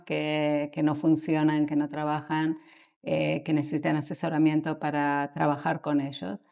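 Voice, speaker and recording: A middle-aged Argentine woman speaks in a low register with a gravelly fry. She talks as an expert guest in conversation, choosing her words thoughtfully and pausing partway through sentences. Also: warm tone. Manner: thoughtful, halting, with mid-sentence pauses. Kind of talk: conversational podcast interview answers.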